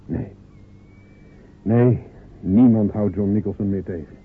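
A proud, stubborn fisherman voiced by a man in an old radio play, earnest and defiant, his slightly husky voice coming through a narrow, phone-like band. He means to prove himself to the whole village. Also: lowish voice, takes it slowly. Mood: determined. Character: proud small fisherman John, stubborn, wants respect.

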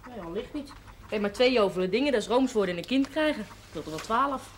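A woman's voice: a little high, expressive, quick pace, natural voice. A woman playing a brash, cheerful young pregnant woman in a television period drama, slangy and unashamed. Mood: defiant, frank. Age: young adult. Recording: noisy old television soundtrack.

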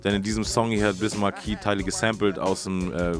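A man's voice: lowish voice, fairly even intonation, fairly quick. A man hosting a radio show, talking casually about music history in colloquial, hesitant phrasing. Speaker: radio host. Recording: music playing behind the voice.

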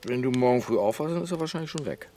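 An actor playing an easygoing, placating middle-aged husband in a naturalistic radio drama, expansive and a little pompous. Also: male voice, mid-range voice, lively intonation, rapid-fire, mellow, slight background noise, close to an everyday voice. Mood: soothing, grandiose. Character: easygoing, soothing husband, grandly sentimental and wry.

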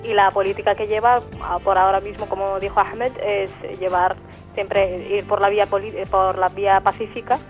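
A woman from Western Sahara explains politics as a guest in a radio interview. Her intonation is fairly flat and her delivery halting, with frequent self-corrections. Music plays faintly behind her. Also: medium pitch, low-quality recording. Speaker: guest, member of a Sahrawi association.

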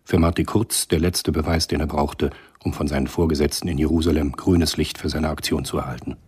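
A man's voice: a bass voice, fairly even intonation, fairly quick, darkish tone, slightly rough. A man narrating a scripted German radio drama in a sober, matter-of-fact manner.

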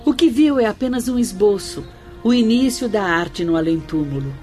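A woman's voice: mid-range voice, animated, moderate pace, dark tone, natural voice. An actress playing a serene, devout female spirit guide in a radio drama, formal and reverent. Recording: faint music under the voice.

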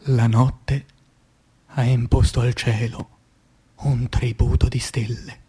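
A man reciting poetry as dramatic radio theatre, solemn and declamatory, breaking phrases with deliberate pauses. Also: medium pitch, little pitch movement, warm tone, husky.

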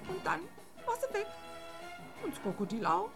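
An actress playing a distraught woman witness in a radio drama, insistent and halting in colloquial speech. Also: very high voice, wildly swooping pitch, loud music behind the voice, full character voice.

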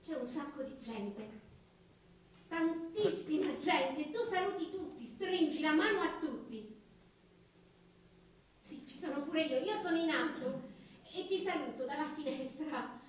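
A tender, childlike woman in a stage play, her voice high and slow to unfold. She speaks in wistful, halting phrases, dreamy and simple, heard from a distance in a very reverberant theatre. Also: expressive, slight background noise. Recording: very echoey theatre stage from afar, music.

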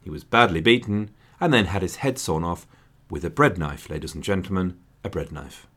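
Deep-voiced man narrating history with wry humor. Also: normal speed, fairly bright tone. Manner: wry, dryly joking asides. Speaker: podcast host.

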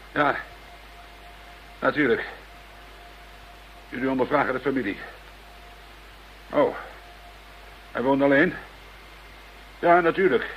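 A man playing a worried, businesslike police investigator with a measured manner in a radio play. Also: on the high side, lo-fi sound. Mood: urgent. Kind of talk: Dutch radio play acting. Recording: hissy radio play recording.

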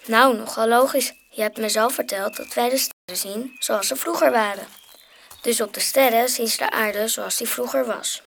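A woman's voice: fairly high pitch, normal speed, creaky, a bit bright, a little hiss or hum. A woman playing a precocious, logical young boy in a radio drama. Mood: curious, matter-of-fact.

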